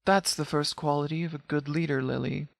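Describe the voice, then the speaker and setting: An actor playing a stern, principled middle-aged sheriff in a video game, speaking in a formal, grave and deliberate way. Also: male voice, fairly high pitch, steady tone, creaky, dry recording, clean studio sound. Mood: quietly earnest. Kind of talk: scripted video game character dialogue.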